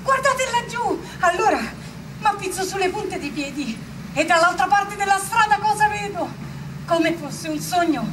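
An actress playing an excited woman of the 1800s in Rome, telling what she saw in a breathless, wide-eyed theatrical monologue. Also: very high voice, noisy background. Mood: excited shouting. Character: excited woman of 1849 Rome marvelling at Garibaldi's volunteers.

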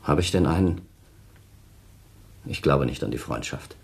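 A man's voice: a bass voice, animated, unhurried, gravelly, breathy edge, full character voice. An actor playing a callous, self-pitying man of thirty in a German radio play, haughty, theatrical and dismissive. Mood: bitter, self-justifying. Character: cold, self-pitying thirty-year-old man, callous scornful former lover.

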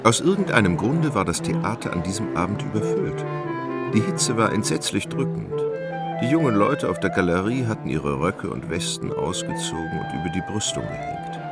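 Male radio-play narrator, polished and dramatic, very low voice with animated melody, over prominent music. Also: normal speed. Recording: loud music behind the narration.

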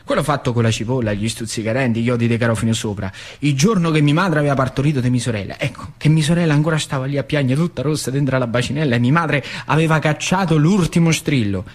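A man's voice: mid-range voice, quick pace, few pauses, close to an everyday voice. An actor playing a plain-spoken old factory worker with a central Italian Lazio dialect, telling his memories emphatically in a stage monologue. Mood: reminiscing. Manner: emphatic storytelling with repeated phrases. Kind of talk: theatrical monologue.

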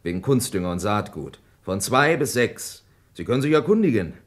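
An actor playing an aggrieved, evasive farmer under suspicion in a German radio crime drama. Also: male voice, lowish voice, very animated, moderate pace. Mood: defensive, matter-of-fact. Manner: evasive, protesting. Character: defensive, aggrieved French farmer, a suspected brother.

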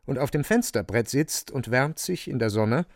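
A man's voice: medium pitch, expressive, normal speed, no room sound. Dry, close male radio drama narrator. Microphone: close studio microphone.

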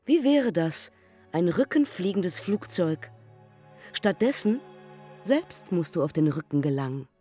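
Dark-voiced intense woman pilot. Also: fairly low pitch, big pitch swings, unhurried, soft background music. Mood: reflective. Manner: terse, staccato fragments. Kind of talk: German radio play monologue, telegraphic.

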